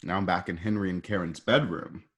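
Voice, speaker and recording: Low-voiced male radio play narrator. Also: steady tone, brisk, slightly rough. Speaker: narrator reading stage directions.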